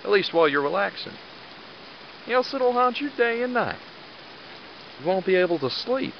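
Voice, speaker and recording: A man playing a blunt, commanding, gruffly fatherly squad leader with a sarcastic edge in an audio drama. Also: high voice, lively intonation, normal speed, lo-fi sound, a little hiss or hum. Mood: stern, exasperated.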